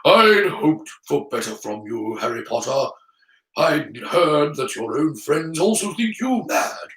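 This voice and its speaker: Weary old wizard headmaster played by a male reader: grave, slow, gently wounded storybook character, roomy distant sound. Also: a little high, expressive. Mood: sad, earnest. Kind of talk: storybook character voice in audiobook reading.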